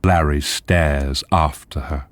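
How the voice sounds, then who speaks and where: A man narrating a radio drama, reading screenplay directions in a measured, deliberate manner. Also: extremely low pitch, fairly even intonation, dry recording.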